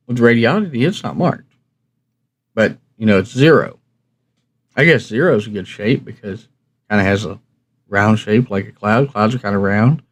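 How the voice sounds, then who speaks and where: A man hosting a review channel, chatting casually and instructively to viewers in a rambling, folksy, plain-spoken way. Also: fairly low pitch. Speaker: host of a radio review channel.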